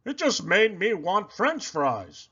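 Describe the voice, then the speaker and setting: Storybook pig, played by a man: fretful, excitable male, loud, exclamatory delivery with big swings in pitch. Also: slow, slightly rough, muffled recording, heavily acted. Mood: glum, dry. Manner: exclamatory, dramatic. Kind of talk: children's picture book read-aloud.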